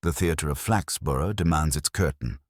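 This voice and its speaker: Courteous radio-mystery inspector, performed by a man: extremely deep, mild and patient, gently ironic and pointed. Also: moderate pace, no room sound, studio quality. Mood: quietly measured. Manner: mild, pleasant, quietly pointed. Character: calm, mildly ironic male police inspector, courteous and patient.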